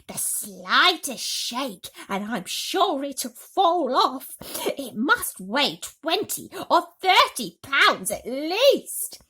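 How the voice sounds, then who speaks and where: A woman's voice: high-pitched, sing-song, drawn-out words, sharp tone, pitched above the performer's own voice, heavily acted. A woman voicing a bossy, spiteful middle-aged aunt from a children's storybook in a snapping, exclamatory manner. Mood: angry, bossy.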